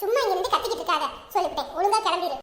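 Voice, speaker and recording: A woman voicing a fierce mother who scolds and shouts to defend her son. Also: extremely high pitch, animated, rapid-fire, roomy sound. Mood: scolding.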